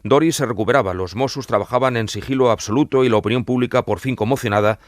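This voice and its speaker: A male journalist giving scripted true-crime narration in a measured, formal storytelling manner. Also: medium pitch, no echo, clean studio sound.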